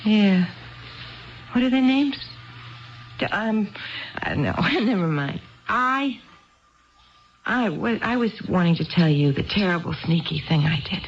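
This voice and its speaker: On a dull old radio recording, a tipsy housewife pouring out confessions. Her voice has a creaky edge, and she rambles and hesitates like someone in a 1970s radio drama. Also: mid-range voice, lively intonation, unhurried, pauses often. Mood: tipsy confiding. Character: tipsy, neglected middle-class housewife confiding in a bartender.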